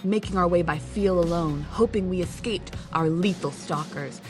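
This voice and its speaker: A woman's voice: fairly low pitch, moderate pace, close to an everyday voice. A woman playing a practical, impatient young female adventurer in an audio drama, telling the story in a sardonic, matter-of-fact way. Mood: hushed narration. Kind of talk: scripted audio drama narration. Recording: music behind the voice.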